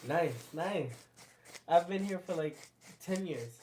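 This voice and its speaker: A man in his twenties, born in the Caribbean, telling a story on a casual podcast, halting and full of fillers. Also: high-pitched, darkish tone. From Caribbean; born in Guyana, grew up in Antigua. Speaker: guest.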